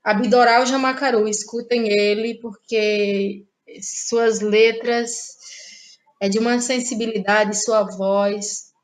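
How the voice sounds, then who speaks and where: Guest voice: easygoing woman, unhurried, quick to laugh. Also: fairly high pitch. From Ceará, Brazil. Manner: relaxed, laughs often, trailing pauses. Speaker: guest playwright. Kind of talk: casual interview chat.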